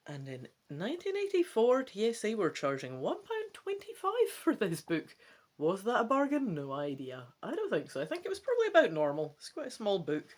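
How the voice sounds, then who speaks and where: A woman's voice: medium pitch, a little fast. A woman hosting a solo gamebook let's play, mixing dramatic read-aloud with chatty, musing asides. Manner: chatty, musing asides between expressive reading.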